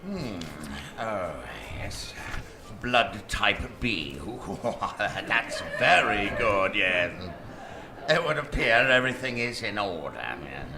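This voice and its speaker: A man playing a gnarled, creepy desk clerk in a live radio comedy-horror, halting and obsequious. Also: lowish voice, big pitch swings, a crowd in the background, heavily acted. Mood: creepy, pleased. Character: gnarled, creepy, slow-witted male hotel desk clerk. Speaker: actor. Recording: live stage recording, background noise.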